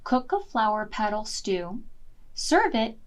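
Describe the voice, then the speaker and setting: A woman hosting a children's storytime, reading picture-book lines aloud in an expressive, measured way that softens at times. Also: on the high side. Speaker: children's library storytime host.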